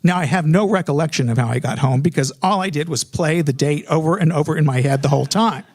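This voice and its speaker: A man's voice: fairly high pitch, fast talker, darkish tone. A middle-aged American man telling a personal story in a comedic, self-mocking way, animatedly acting out remembered dialogue.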